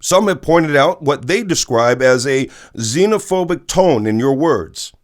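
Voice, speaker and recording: An actor playing a probing political talk show host, formal in delivery, in an audio drama. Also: male voice, on the high side, lively intonation, a little slow. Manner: formal, challenging, broadcast delivery. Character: brisk, probing male American political news host. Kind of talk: scripted news interview in audio drama.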